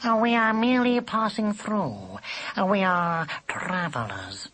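A man voicing a haughty, silky gentleman Siamese cat, drawn-out words. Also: high voice, a little hiss or hum, pitched above the performer's own voice. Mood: silky, polite. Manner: formal, precise, drawn-out delivery.

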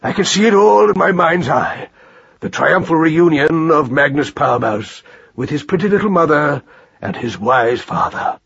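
A man's voice: fairly high pitch, big pitch swings, a little slow, creaky, fairly bright tone, slightly rough, muffled recording, exaggerated voice. A man voicing a kindly, sensible uncle rabbit who is plain-spoken and authoritative. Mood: solemn.

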